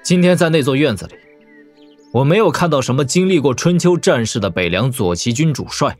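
A man playing a calm, commanding young warlord prince in an audio drama, speaking with measured, weighty deliberation. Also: mid-range voice, soft background music, natural voice. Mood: solemn, heartfelt.

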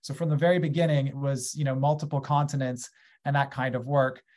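A man's voice: mid-range voice, flat delivery, a little fast, a little mellow. A man in his thirties with an American accent, speaking as an invited guest on a webinar, enthusiastic and gesturing as he talks over a video call from a small room. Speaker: guest speaker, agriculture company founder. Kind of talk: conversational webinar talk. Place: small room at home, indoors. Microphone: webcam on a video call.

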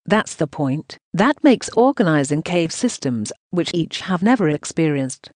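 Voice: medium pitch, very animated, measured pace, darkish tone, no echo. A synthetic text-to-speech voice reading translated news narration in a choppy way, speaking punctuation marks aloud.

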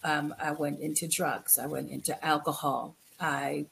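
A middle-aged woman from the Philippines giving a candid, reflective personal account as an interview guest, halting and repeating phrases for emphasis. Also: low-pitched, level tone, vocal fry, fairly bright tone. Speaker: guest, author. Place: indoor room, video call. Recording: noisy video call.

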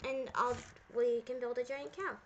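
A female gamer with a high voice chats casually as she plays along in a roleplay. The recording has clear background noise and some clicking. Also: steady tone, normal speed, lo-fi sound. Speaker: gamer playing a sister character in a roleplay video. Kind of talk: casual gameplay roleplay chat.

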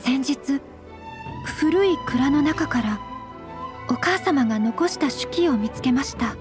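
Anime game role: earnest young noblewoman, high voice, modest, formal delivery, music underneath. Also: expressive. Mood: earnest, hesitant. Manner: formal, honorific, gently measured. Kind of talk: Japanese anime game voice acting.

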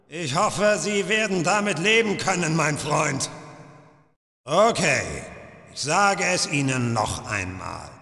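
An older gunslinger interrogator from a video game, smug, cruel and theatrical. His delivery is slow and deliberate, with big swings in pitch and a hard, forceful edge. The sound is echoey and reverberant. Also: gravelly, full character voice. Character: sadistic older male Russian gunslinger interrogator, menacing and smug. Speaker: voice actor in a video game dub.

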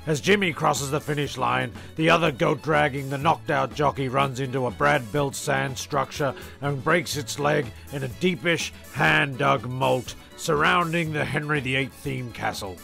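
A man's voice: mid-range voice, normal speed, creaky, hardly any pauses, pushed and bright, faint music behind. A man delivering scripted comic narration in a dry, mock-dramatic, crude way. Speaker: narrator of a comedy sketch series.

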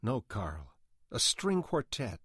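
Male reader as slick male music executive, pushy, coaxing, insistent, animated pitch, dry close sound, audiobook. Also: slow, slightly rough, dry recording, full character voice. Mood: placating, persuasive. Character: pushy, slick male record company man, manipulative.

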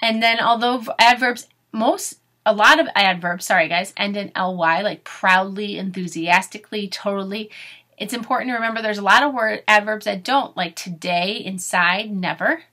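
A woman in her thirties, expressive and instructive, speaking to children as a teacher does in a read-aloud lesson. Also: mid-range voice, normal speed. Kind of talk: storybook read-aloud with grammar explanations. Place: small furnished room at home.

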